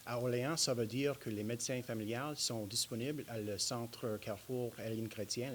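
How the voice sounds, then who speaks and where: A man speaking as a candidate in a studio debate, halting and hesitant in his answers. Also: on the low side, slight background noise.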